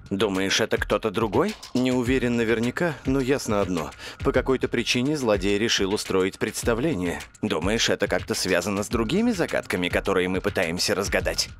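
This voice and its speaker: A serious cartoon mentor played by a man, earnest and steady. His voice is low but moves with lively expression, over soft music. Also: lightly acted. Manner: earnest, explanatory. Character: serious, steady adult male mentor to young pilots. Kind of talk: animated cartoon dub.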